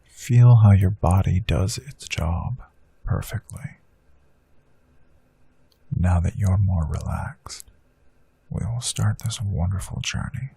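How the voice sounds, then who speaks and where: Man, gravelly low voice, dark and husky, slow calm meditation guide, soft whispering. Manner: slow soothing affirmations, drifting into whispers. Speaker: hypnosis guide.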